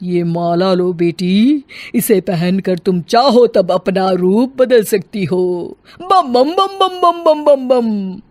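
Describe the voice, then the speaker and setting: A man voicing a kindly, knowing old wandering holy man in a story, with chanting and drawn-out blessings. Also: sits high, darkish tone, dry recording.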